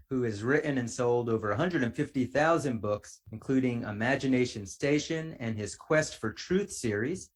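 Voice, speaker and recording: Middle-aged guy doing podcast host stuff, medium pitch, measured and clearly worded. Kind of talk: podcast introduction and interview.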